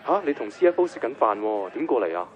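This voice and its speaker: A man's voice: mid-range voice, big pitch swings, fast talker, soft background music. An actor playing an easygoing, practical man on a phone call in a Hong Kong Cantonese radio drama. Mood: casual, upbeat. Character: easygoing, practical man phoning about a bargain. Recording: muffled band-limited phone sound.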